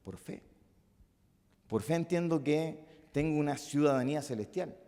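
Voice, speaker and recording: Chilean pastor preaching in a reverberant hall. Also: male voice, fairly high pitch, measured pace. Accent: Chilean Spanish. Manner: impassioned, swings from loud emphatic peaks to soft musing asides. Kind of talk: sermon. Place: church hall. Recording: long reverb of a church hall.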